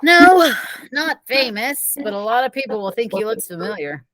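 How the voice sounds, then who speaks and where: A woman's voice: on the high side, very animated, moderate pace, a bit bright, low-quality recording. A woman teacher reading the dialogue of a children's novel aloud, a little away from the microphone. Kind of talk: read-aloud storybook dialogue.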